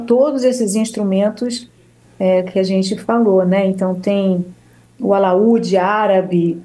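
A Brazilian woman singer talks through an interview in a mellow, soft-edged voice. She rambles warmly, restarts her sentences and keeps checking in with her listener. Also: medium pitch, low-quality recording. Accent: Brazilian Portuguese. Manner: warm, rambling, with restarts and frequent "né?". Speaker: singer and choir leader. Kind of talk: casual interview talk.

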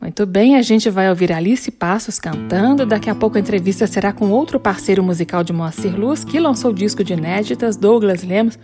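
A woman hosting a radio program, introducing songs and guests in a measured way. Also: medium pitch, over music.

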